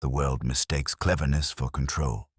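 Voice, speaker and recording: A man playing a very deep, reflective detective. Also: steady tone, husky, no echo, studio quality. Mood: weary, reflective. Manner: measured, eloquent, philosophical. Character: reflective, weary male detective, wry and philosophical. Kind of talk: audiobook character dialogue.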